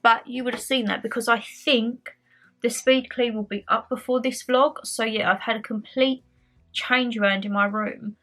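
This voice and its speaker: A woman's voice: fairly high pitch, fairly even intonation, moderate pace, a bit bright. A young woman vlogging from home, chatting casually and rambling a little, her voice slightly nasal and stuffy from a cold. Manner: chatty, rambling, repeats phrases. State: bunged up with a cold. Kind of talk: casual chat to camera.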